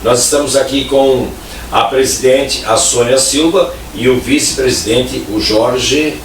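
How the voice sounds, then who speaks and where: A man's voice: mid-range voice, a little slow. Male show host, chatty and joking, recorded from a distance with heavy room echo. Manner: chatty, joking, many tag questions. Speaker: radio talk show host. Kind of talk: casual talk show hosting. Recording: echoey, distant, faint noise.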